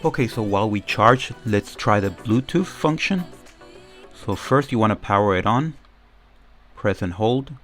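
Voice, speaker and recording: A man's voice: lowish voice, expressive, measured pace, a bit bright, faint music behind. A man giving a casual, informal product demo, explaining things step by step as a reviewer. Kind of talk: casual unboxing and demo commentary.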